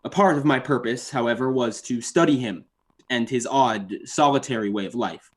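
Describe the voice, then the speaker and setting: Man acting as young male writer, husky, defensive, precise diction, formal witness, old-time radio drama. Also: mid-range voice, normal speed, close to an everyday voice. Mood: guarded, formal. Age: young adult. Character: proud, defensive young city writer testifying at an inquest.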